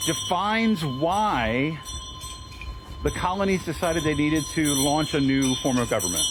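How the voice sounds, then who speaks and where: An American man hosting an informal outdoor livestream, addressing viewers and a small crowd in an earnest, deliberate, speechmaking way. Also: a little high. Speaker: livestream host and organizer of a public reading. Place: outdoors on a town street. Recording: very noisy outdoor livestream with ringing bells. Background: loud ambient noise and a ringing bell.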